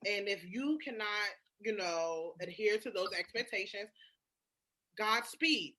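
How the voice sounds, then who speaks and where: A woman with a bright, forceful voice at an ordinary pitch for a woman. She talks candidly and casually, leaning hard on her points and cursing freely as she goes. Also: a little slow. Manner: emphatic, profane, conversational. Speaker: podcast guest.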